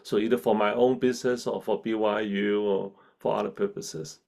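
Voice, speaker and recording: A middle-aged man from Hong Kong with a Chinese accent, a guest in a casual interview who talks in a halting way with many restarts and fillers. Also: fairly low pitch, fairly even intonation, gravelly, a little mellow. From China, grew up in Hong Kong. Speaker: guest, professor. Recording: video call.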